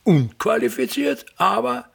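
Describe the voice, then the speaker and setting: An actor playing an embittered, pompous aging state actor in a radio play, an older man who declaims grandly and complains querulously with dramatic pauses. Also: extremely high pitch, big pitch swings, vocal fry, heavily acted. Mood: grandiose, grumbling. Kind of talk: theatrical radio play monologue.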